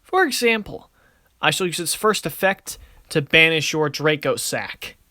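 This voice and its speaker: A man's voice: fairly high pitch, expressive, measured pace, sharp tone, no echo, clean studio sound. A man voicing a confident, wisecracking young card duelist in an anime fan production, casual and theatrical. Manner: casual, wry, theatrical when announcing moves.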